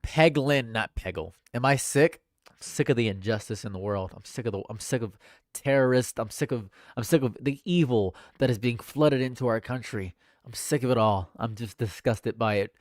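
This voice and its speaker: Young man, stuffed-up nose, deadpan stream chat, mid pitch, dry close sound. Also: moderate pace, no room sound. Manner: dry and deadpan, laughs at own jokes. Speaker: livestream host. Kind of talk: casual chat reading viewer comments. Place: home room.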